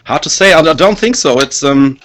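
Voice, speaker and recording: Halting man answering interview questions. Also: a little high, lively intonation, fairly bright tone. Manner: hesitant, halting, many fillers. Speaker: band singer and guitarist. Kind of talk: casual interview.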